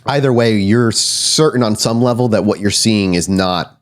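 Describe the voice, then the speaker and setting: A man working as game master, improvising suspenseful, deliberate narration for a horror tabletop roleplaying game. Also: fairly low pitch. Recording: music behind the voice. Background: background music.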